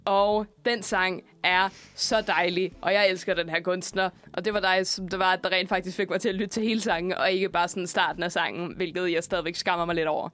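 En ung mand, der vært i et radiostudie og snakker løst og fyldord-tungt. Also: male voice, high-pitched, flat delivery, few pauses, a bit bright. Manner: rambling, many fillers and restarts. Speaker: radio host. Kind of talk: casual studio chat. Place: student radio studio.

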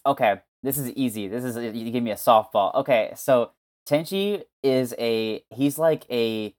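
A podcast guest who talks casually and improvises a story pitch, using lots of fillers. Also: unhurried, darkish tone.

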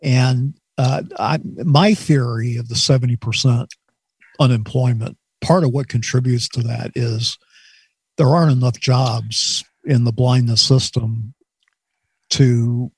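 Husky-voiced man, hesitant. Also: medium pitch, fairly even intonation, a little slow, no room sound. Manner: hesitant, many fillers and restarts. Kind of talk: casual group discussion.

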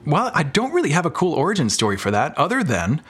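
A man's voice: a little high, huge pitch swings, fast talker, fairly bright tone, husky. An American man talking casually and informally as a podcast guest, telling stories about his creative work. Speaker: podcast guest, audio drama producer. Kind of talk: casual interview chat.

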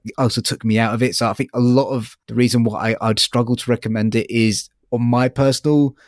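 A man co-hosting a casual review discussion, halting and apologetic when he gives criticism. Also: medium pitch, fairly even intonation, no room sound, studio quality. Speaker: audiobook review podcast co-host.

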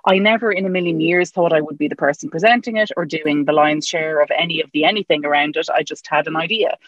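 A woman's voice: sits low, quick pace, fairly bright tone, no echo, highs cut off. A woman chatting as a guest, telling stories with easy laughter. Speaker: guest, podcast presenter. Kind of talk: casual chat.

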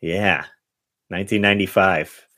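A man's voice: deep voice, fairly even intonation, unhurried, pushed and bright. A man hosting a podcast game, chatting casually and reading aloud with some jokey, theatrical emphasis. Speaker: podcast host running a guessing game. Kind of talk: casual podcast chat with read-out reviews.

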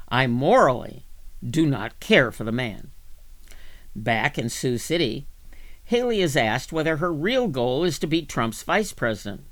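A man reading magazine articles aloud as scripted narration, in a measured, deliberate manner. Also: medium pitch, fairly bright tone. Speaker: volunteer magazine reader for a reading service.

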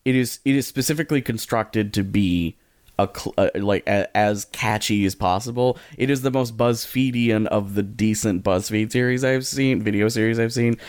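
Man, podcast co-host, slightly low voice, casual, hesitant rephrasing, very dry close sound. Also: moderate pace, darkish tone, clean tone, dry recording.

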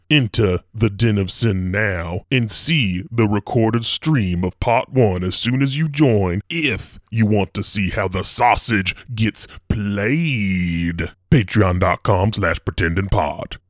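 Man doing a drowsy dog radio host, slow drawl, lowish voice, crude rambling humor, dry close sound. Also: no echo. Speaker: host of a recurring promo segment. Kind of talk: comic in-character radio spot.

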